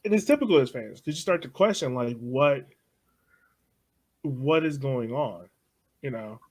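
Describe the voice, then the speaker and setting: A young man co-hosting a casual sports podcast, talking loosely with frequent laughs and soft trailing asides. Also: mid-range voice, expressive, unhurried. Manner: loose and chatty, laughs often, trails off softly. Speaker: podcast co-host.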